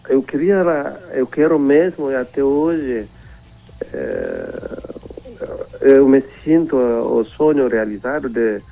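A man. He talks slowly and haltingly, his voice gravelly with fry. He comes through a narrow, tinny phone line. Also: mid-range voice. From Timor-Leste. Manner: halting, searching for words, chuckling. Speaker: priest and phone-in guest. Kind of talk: phone interview.

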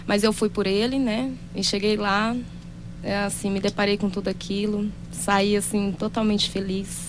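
A woman from Brazil giving a soft-spoken, halting and heartfelt personal testimony. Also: medium pitch, little pitch movement, background noise.

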